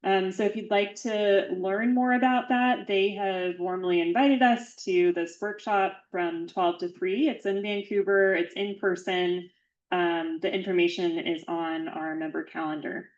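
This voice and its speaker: A woman's voice: mid-range voice, steady tone, unhurried, reverberant, highs cut off. A woman hosting a membership meeting, giving friendly, organized announcements with a few fillers. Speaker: meeting host.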